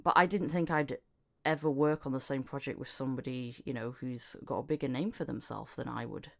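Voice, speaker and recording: A woman's voice: rumbling low, a little fast, gravelly. A woman hosting a casual podcast chat about voice acting. She laughs often and acts out little quoted exchanges as she talks. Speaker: podcast host.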